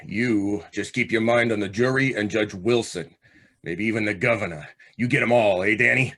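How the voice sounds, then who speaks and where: An actor playing a vengeful, manipulative convict on death row in an old-time radio drama, talking in tough street slang. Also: male voice, fairly low pitch, normal speed, bright, forceful tone. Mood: scheming, coaxing. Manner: tough, slangy, clipped commands. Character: hard, scheming condemned gangster, vengeful manipulative older brother.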